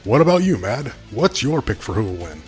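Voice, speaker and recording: A folksy male co-commentator at a wrestling match, played by a man. He has a medium-pitched voice and gives opinionated, scripted commentary over faint music. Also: very animated, brisk, a little mellow, natural voice. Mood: conversational.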